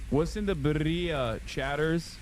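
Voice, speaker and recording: A man's voice: a little high, slow, slight background noise. A man from Turkey hosting a livestream who chats casually and rambles with lots of restarts while ordering food. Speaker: streamer host.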